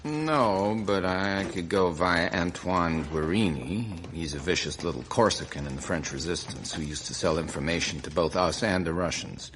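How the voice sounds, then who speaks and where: An actor playing a pragmatic, quietly firm intelligence officer in a radio drama, formal and understated in manner. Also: male voice, low-pitched, normal speed, hardly any pauses, a little hiss or hum. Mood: measured, discreet.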